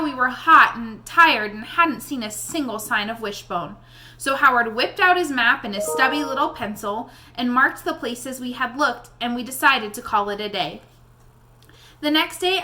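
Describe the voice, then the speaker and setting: A woman reading a children's novel aloud in an expressive storybook style. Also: fairly high pitch. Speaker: read-aloud reader addressing young listeners.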